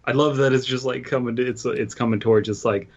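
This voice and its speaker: A man co-hosting a casual podcast, reading documents slowly with hesitations and filler words. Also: mid-range voice, steady tone. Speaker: podcast co-host. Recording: muffled lo-fi podcast mic.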